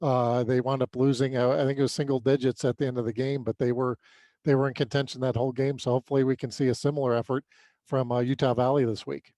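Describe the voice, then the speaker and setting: A man's voice: mid-range voice, little pitch movement, fast, mellow, no room sound. A man with an American accent talking through sports results in a casual way as a podcast co-host. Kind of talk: casual sports recap commentary.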